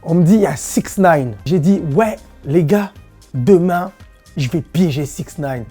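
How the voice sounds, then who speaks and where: A man's voice: a little high, expressive, measured pace, dark tone, husky, faint music behind. A man, answering interview questions in a loose, slangy, playful way as a dancer and social media comedian. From Belgium. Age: 20s.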